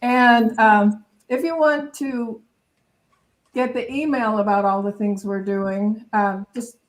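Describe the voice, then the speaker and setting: A woman hosting an online service, giving casual announcements in an informal, halting way. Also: fairly high pitch, steady tone. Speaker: service host.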